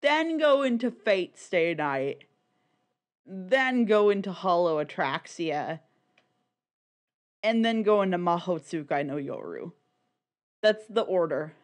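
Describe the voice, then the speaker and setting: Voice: medium pitch, big pitch swings, slow pace, long pauses. A podcast co-host in loose, casual conversation. The delivery rambles and leans hard on words for emphasis, with swearing dropped in freely and opinions stated bluntly. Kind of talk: casual, opinionated podcast chat about niche fan media.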